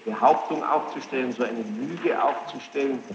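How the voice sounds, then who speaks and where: A man questioning a witness as a judge in formal, halting German phrasing, insistent and reproachful. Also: mid-range voice. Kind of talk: courtroom interrogation, spontaneous formal speech. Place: large echoing courtroom. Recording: dull, noisy archive courtroom tape, echoey hall.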